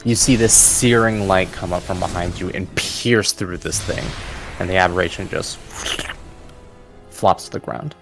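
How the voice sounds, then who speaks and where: A man's voice: sits low, measured pace, vocal fry, music in the background. A man narrating a tabletop roleplaying game as game master, in a casual, plain-spoken way.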